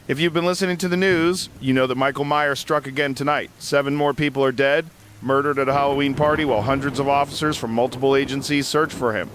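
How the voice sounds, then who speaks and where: A man playing a somber, shaken late-night radio call-in host, speaking gravely and earnestly. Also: mid-range voice, fairly even intonation, quick pace, hardly any pauses, fairly bright tone, slight background noise, lightly acted. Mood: grave, uneasy.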